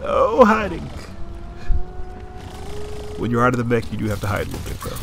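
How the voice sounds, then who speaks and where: A man commentating his own gameplay, explaining tactics in a coaching tone with quick excited asides, his nose a little stuffy. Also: medium pitch, vocal fry, music in the background.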